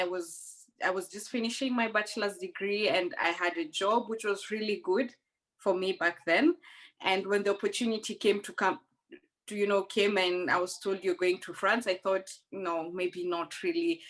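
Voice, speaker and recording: A woman's voice: medium pitch, a bit bright, low-quality recording. A young woman from Rwanda, raised in Kenya, speaking earnestly as a guest in a conversational interview, often restarting her sentences.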